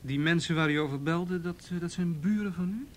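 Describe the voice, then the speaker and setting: A man's voice: fairly high pitch, brisk, a little hiss or hum, close to an everyday voice. A man acting in a radio play as a patient, principled police officer on duty, in naturalistic dialogue. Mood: polite, inquiring.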